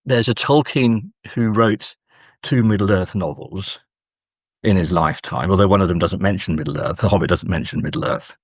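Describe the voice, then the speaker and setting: Low-voiced male academic, halting and thoughtful. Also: husky, no room sound, clean studio sound. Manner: halting, thoughtful, with many pauses mid-sentence. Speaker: guest academic and author. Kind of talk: interview discussion, scholarly explanation.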